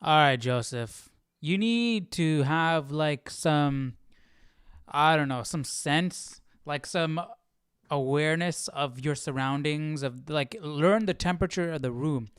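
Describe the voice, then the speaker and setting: Clear, lightly high-voiced man thinking aloud. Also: takes it slowly, no room sound, clean studio sound. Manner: questioning, musing to himself. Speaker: host of a Bible study livestream. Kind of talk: casual think-aloud study commentary.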